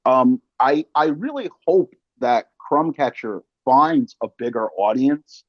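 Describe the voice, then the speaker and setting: A man's voice: medium pitch, expressive, takes it slowly, no room sound, highs cut off. A man hosting a casual film interview, talking emphatically in slang and analogies. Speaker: podcast host and interviewer.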